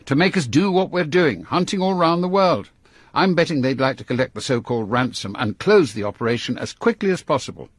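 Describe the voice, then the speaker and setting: A man playing a brisk, authoritative older British intelligence chief in a radio drama, with an English accent and a clipped, commanding delivery. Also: mid-range voice, expressive, no echo, studio quality, natural voice. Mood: commanding, intent.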